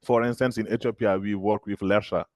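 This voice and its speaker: Guy on a webinar call, hesitant, lots of uhs, dry low voice. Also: steady tone, a little fast, a little mellow, no echo. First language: French. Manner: hesitant, many fillers and restarts. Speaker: agritech founder answering questions. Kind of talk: webinar Q&A answer. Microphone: video call.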